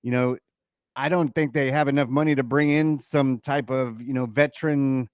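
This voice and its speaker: A man co-hosting a sports radio show, chatting casually and haltingly as he poses questions about the game. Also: mid-range voice, steady tone, smooth, no echo. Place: radio studio.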